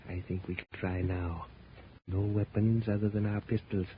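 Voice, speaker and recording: An actor playing a scheming, commanding treasure hunter in a 1930s radio adventure serial, speaking in deliberate, formal phrases. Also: male voice, lowish voice, level tone, natural voice. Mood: cool, scheming. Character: scheming, commanding treasure-hunting conspirator, coolly calculating. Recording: muffled, noisy 1930s radio recording.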